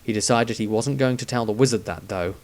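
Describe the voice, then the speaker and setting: A man's voice: lowish voice, fairly quick, a little mellow. A man reading his own fantasy story aloud as a scripted, dramatic narration.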